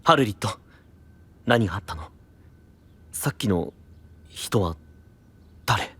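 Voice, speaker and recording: A man playing a caring, earnest young knight and protective friend, gently reassuring, in a fantasy audio drama. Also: on the low side, lively intonation, slow pace, breathy edge, dry recording, heavily acted. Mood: concerned.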